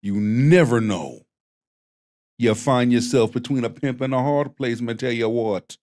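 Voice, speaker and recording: A man's voice: mid-range voice, darkish tone. A man with a Jamaican accent hosting a stream, commenting bluntly on clips like a preacher. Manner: slow, preachy and blunt, with profanity. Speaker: host.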